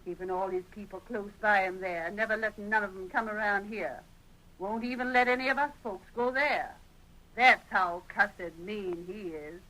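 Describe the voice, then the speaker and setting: A woman's voice: medium pitch, normal speed, bright, forceful tone, close to an everyday voice, rough recording. A woman playing a gossipy, opinionated frontier busybody with a rural Western American accent in an old radio drama. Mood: gossipy, indignant. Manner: folksy, emphatic, chattering. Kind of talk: 1940s radio acting.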